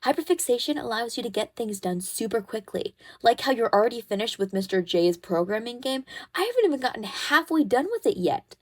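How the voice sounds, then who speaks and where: A woman's voice: on the high side, very animated, a little fast, hardly any pauses. A woman playing a caring, protective schoolgirl best friend. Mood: encouraging, reassuring. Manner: soothing, reassuring, gently bossy and teasing. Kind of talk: ASMR comfort roleplay, one-sided conversation.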